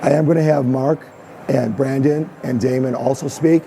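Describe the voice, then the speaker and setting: A man's voice: medium pitch, fairly even intonation, normal speed, gravelly, mellow, a little hiss or hum. A man with a strained, fading voice, welcoming the audience and introducing a panel on a conference stage. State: losing his voice. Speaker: host and author.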